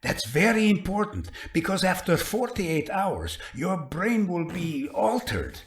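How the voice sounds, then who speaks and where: A man's voice: high-pitched, big pitch swings, measured pace, heavily acted. A man playing a captive doctor in an audio drama, speaking with grave, earnest urgency and careful deliberation. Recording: echoing hard-walled room sound.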